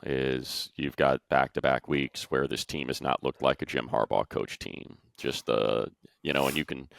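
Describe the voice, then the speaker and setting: Male sports panelist, very deep register, dry close sound, informal emphatic analysis. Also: vocal fry, fairly bright tone, no room sound. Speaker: sports show panelist and play-by-play announcer.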